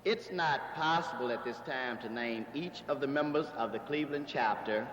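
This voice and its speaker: A man's voice: mid-range voice, normal speed, fairly bright tone, a little hiss or hum. A man formally introducing club members as one of the officers. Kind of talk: formal announcing. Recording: echoey lo-fi hall, crowd murmur.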